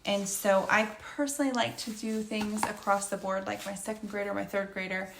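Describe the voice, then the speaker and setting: Middle-aged woman, homeschool mom reviewer, scratchy and gravelly, chatty and unscripted; roomy sound. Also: mid-range voice, steady tone, brisk. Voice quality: slightly scratchy, creaky voice. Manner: conversational, meandering. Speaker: homeschooling mother reviewing a curriculum. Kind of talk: casual review vlog, unscripted. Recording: echoey room with faint background noise.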